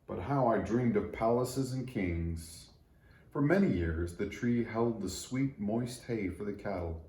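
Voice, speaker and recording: Male children's story reader. Low, dark, relaxed tone, echoey room. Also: unhurried. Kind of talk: read-aloud storytelling for children. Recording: roomy echo.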